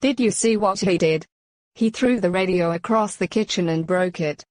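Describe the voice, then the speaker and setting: A woman's voice: on the low side, moderate pace, dry recording, lightly acted. A woman playing an exasperated, strict mother scolding her son.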